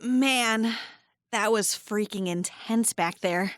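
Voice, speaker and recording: A woman playing a brash, excitable female sidekick in an audio drama, with casual delivery. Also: medium pitch, slow pace, dry recording, studio quality, lightly acted. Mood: thoughtful, casual.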